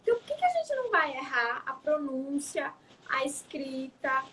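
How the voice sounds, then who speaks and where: High-voiced woman, reflective chat. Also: sing-song, moderate pace, a bit bright, a little hiss or hum. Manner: reflective, frequent tag questions. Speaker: psychologist guest. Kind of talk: casual interview talk.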